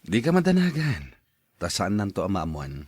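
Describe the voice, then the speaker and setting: A man's voice: fairly low pitch, wildly swooping pitch, moderate pace, darkish tone, breathy edge, heavily acted. A man playing an evasive married man in an Ilocano radio drama. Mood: defensive, exasperated. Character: evasive married man, grown son and cheating husband.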